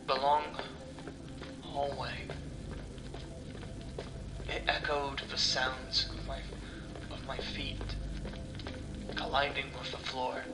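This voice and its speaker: A man narrating a first-person horror story dramatically, with halting delivery, pauses and breathy asides. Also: mid-range voice, little pitch movement. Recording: echoey sound with music underneath. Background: music.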